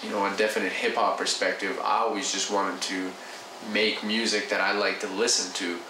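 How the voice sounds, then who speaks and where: A man in his twenties from Canada, calmly talking as a hip hop artist in an interview. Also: fairly low pitch. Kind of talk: casual interview talk. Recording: roomy, distant mic, noisy.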